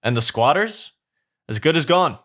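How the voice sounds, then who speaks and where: A man voicing a smug lord villain in a fairy-tale story, pompous and deliberate as he bargains. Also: on the high side, very animated, fairly bright tone.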